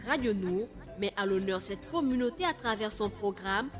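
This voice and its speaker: A woman's voice: medium pitch, expressive, normal speed. A woman reading a scripted promotional announcement for a radio programme. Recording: loud music bed under voice. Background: loud music.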